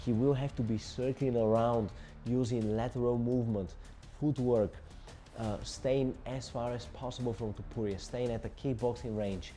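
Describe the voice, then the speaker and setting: A man's voice: medium pitch, dark tone. A Bulgarian man in his twenties, a combat sports journalist speaking English as a second language, breaking down a fight with emphatic, repetitive lists of tactics. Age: 20s. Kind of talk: solo fight analysis to camera.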